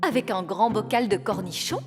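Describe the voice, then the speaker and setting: An actress voicing a fussy, theatrical grown-up fairy in a French cartoon dub, expressive and singsong. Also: female voice, mid-range voice, normal speed, music in the background, close to an everyday voice. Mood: exasperated. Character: fussy, theatrical fairy nanny and elf mother.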